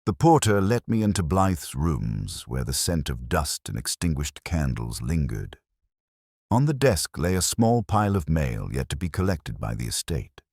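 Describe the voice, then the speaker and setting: A man reading a scripted first-person detective story in a measured, literary and reflective way. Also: a bass voice, sing-song, darkish tone, slightly rough, dry recording, clean studio sound. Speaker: narrator.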